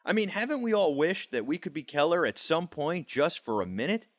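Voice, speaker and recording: Reporter voice-over: American man, higher register, very animated intonation, dry sound. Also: fairly quick, no echo. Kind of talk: scripted video report narration.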